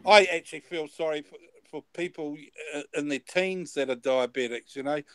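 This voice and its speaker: Guy in his 50s rambling on, repeats himself, kinda high voice, dry close sound. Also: a little fast, clear, resonant tone, dry recording. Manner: rambling, repeats words, swears casually. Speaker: host. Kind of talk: casual chat.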